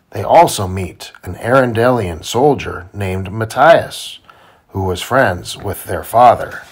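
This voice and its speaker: A man reading a children's storybook aloud to his child in simple, deliberate phrasing. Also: on the low side. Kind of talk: children's read-aloud narration.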